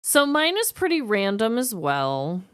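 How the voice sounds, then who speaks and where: A woman co-hosting a comedy podcast, talking in a chatty, slangy, animated way close to the mic. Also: fairly high pitch, a little slow, clean tone. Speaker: podcast co-host. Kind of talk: casual comedic chat.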